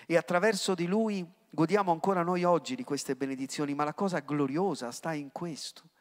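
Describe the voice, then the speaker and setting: A middle-aged man, preaching a sermon into a handheld mic, swinging from loud outbursts to hushed words. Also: a little high, a little fast. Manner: impassioned preaching with sudden rises and hushed drops. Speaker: pastor. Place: church hall. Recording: long church reverb.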